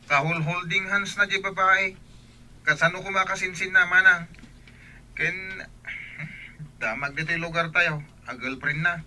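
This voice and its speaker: A bright, forceful, slightly high voice for a young student. He is an earnest, protective younger brother in a radio drama. Faint noise sits behind him. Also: measured pace, long pauses, exaggerated voice. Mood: earnest, worried.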